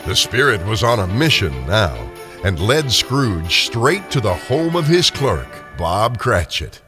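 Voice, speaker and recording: A man's voice: fairly low pitch, very animated, slow pace, music in the background. A man narrating a classic story dramatically as scripted radio theater. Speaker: narrator and performer.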